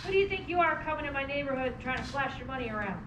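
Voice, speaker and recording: A woman playing a hostile male car thief from a rough neighbourhood in an amateur play reading. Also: high-pitched, expressive, racing. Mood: menacing. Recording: echoey, noisy room, distant mic.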